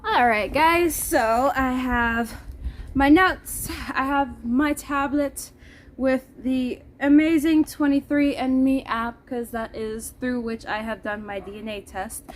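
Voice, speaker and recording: A woman's voice: high-pitched, slow, faint music behind. A woman from the Netherlands, chatting casually and playfully to her viewers. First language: Dutch. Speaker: vlogger. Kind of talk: casual vlog chat to viewers. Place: outdoors in the heat.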